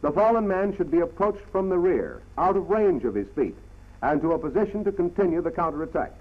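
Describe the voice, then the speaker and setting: A man's voice: high voice, expressive, brisk. An American man narrating a 1940s military training film in a formal, clipped, instructional manner, on a dull vintage soundtrack.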